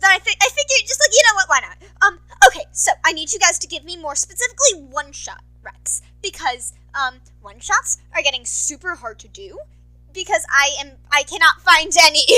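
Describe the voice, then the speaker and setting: High, bright-voiced young woman rambling casually. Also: low-quality recording. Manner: effusive, apologetic, scattered. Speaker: podfic reader addressing listeners. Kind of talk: casual rambling update to listeners.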